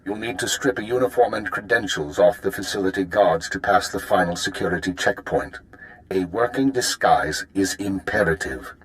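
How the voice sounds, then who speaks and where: A composed intelligence handler, played by a man with a fairly low voice. He is formal, exacting and measured, delivering precise mission briefings in a video game. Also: natural voice, poor audio.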